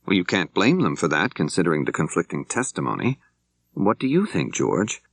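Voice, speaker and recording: A level-headed male law professor playing detective, voiced by a male narrator, speaks very low. He questions directly and in measured phrases, in the manner of an audiobook mystery. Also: very animated, vocal fry, dry recording, full character voice. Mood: probing, calm.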